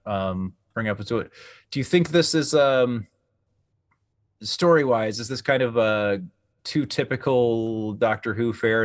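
A man's voice: lowish voice, dry recording, clean studio sound. A man co-hosting a podcast, chatting casually and often hesitating as he rephrases his thoughts. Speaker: podcast co-host.

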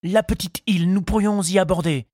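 A man's voice: high voice, expressive, normal speed, no echo, clean studio sound, pitched above the performer's own voice. A man voicing a shy, earnest young suitor in an audiobook, hesitant and deferential, stammering as he speaks. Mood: nervous, eager.

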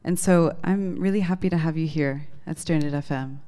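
A woman's voice: low-pitched, moderate pace, dark tone. A woman hosting a conversational radio interview. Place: radio station.

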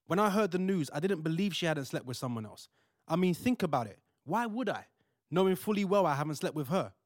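A man's voice: fairly high pitch, lively intonation, fairly quick, no echo, clean studio sound, natural voice. A man playing a devout young husband-to-be in a modern audio drama, earnest and colloquial. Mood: earnest persuasion. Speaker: actor in audio play.

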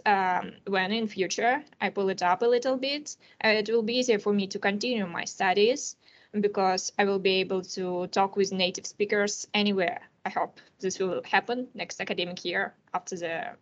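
A nineteen-year-old woman from Russia, a native Russian speaker with a Russian accent, answering interview questions as a student guest, careful and often pausing to hesitate. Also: mid-range voice, fairly even intonation, dull audio. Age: teens.

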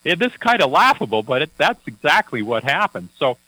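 A man's voice: on the high side, big pitch swings, brisk, bright, forceful tone, dry recording, poor audio. A man working as a financial advisor, chatting on a radio talk show in a folksy, anecdotal way with many fillers and restarts.

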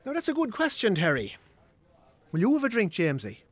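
An actor with an Irish accent playing a smug poker ringleader in a radio drama, mock-friendly and needling. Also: male voice, high voice, huge pitch swings, fairly quick, exaggerated voice. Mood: pushy, genial. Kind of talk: naturalistic radio drama acting.

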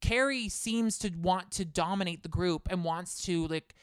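A woman's voice: on the low side, clean tone, no room sound, clean studio sound. A woman co-hosting a podcast, chatting casually and ranting with strong opinions. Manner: heated, opinionated, fast rants. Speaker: podcast co-host. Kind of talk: casual chat about reality TV.

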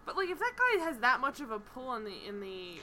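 A woman chatting casually about a TV show, animated and rambling, laughing often and swearing. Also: high-pitched, brisk, slight background noise. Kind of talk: casual chat recapping a TV show.

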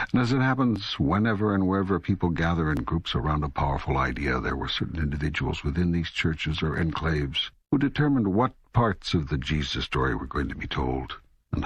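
God as wry old teacher, very low gravelly voice, sweeping intonation, deliberate, emphatic, audiobook drama. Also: male voice, animated, a bit bright, dry recording, exaggerated voice. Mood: patient, explaining.

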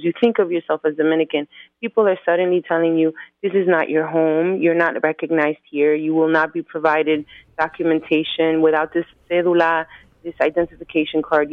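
A woman's voice: low-pitched, no echo. Woman on a tinny phone line. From United States. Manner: earnest, methodical, lays out points in groups. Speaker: activist guest. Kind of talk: explanatory interview answers.

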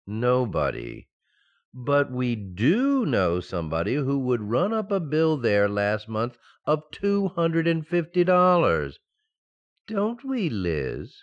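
Guy as a fed-up sensible radio sitcom husband, pitch all over the place, bit muffled. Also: wildly swooping pitch, takes it slowly. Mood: suspicious. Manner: patient, mock-stern, explanatory delivery. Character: exasperated, sensible banker husband in a domestic sitcom. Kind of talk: old-time radio sitcom acting.

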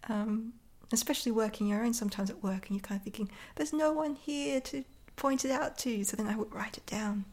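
A woman's voice: on the high side, fairly quick, few pauses, darkish tone, a little hiss or hum. A woman poet talking casually and reflectively in an interview, in an understated, tentative way. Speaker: poet and author being interviewed.

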